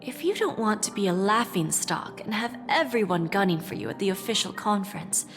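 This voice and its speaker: Lady acting as a cool shrewd business exec from a game, calm n assured, kinda threatening, mid pitch, music behind her. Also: natural voice. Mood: coolly threatening. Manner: composed, assured, deliberate phrasing. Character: cool, shrewd female corporate negotiator, calmly threatening. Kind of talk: dramatic video game voice acting.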